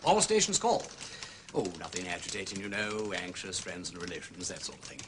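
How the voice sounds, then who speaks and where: An actor playing an urbane aristocratic gentleman sleuth with an upper-class English accent, drawling and probing with theatrical understatement in a radio drama. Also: low-pitched, wildly swooping pitch, a little fast, very noisy.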